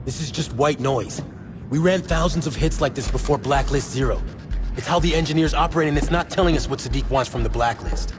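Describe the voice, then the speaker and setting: An actor playing a serious, matter-of-fact field agent in a video game, with clipped, businesslike delivery. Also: male voice, brisk, close to an everyday voice. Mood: firm. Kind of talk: video game cutscene acting. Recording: loud music and engine rumble behind.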